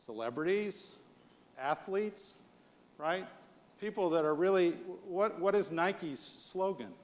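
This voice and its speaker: A man's voice: high voice, animated, takes it slowly. An American man giving a live leadership presentation as a conference speaker, conversational and punctuated with rhetorical questions. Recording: long hall reverb.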